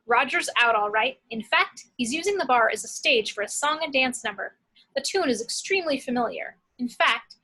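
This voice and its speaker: Bright female script narrator. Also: a little high, rough recording. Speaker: narrator of action descriptions. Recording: clear background noise, home recording.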